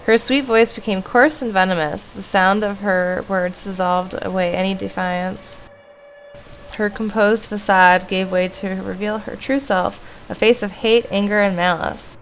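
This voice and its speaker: A woman's voice: medium pitch, steady tone, measured pace, slight background noise, low-quality recording. Woman streamer reading a horror game aloud. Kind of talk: reading a horror visual novel aloud with casual asides. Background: faint music.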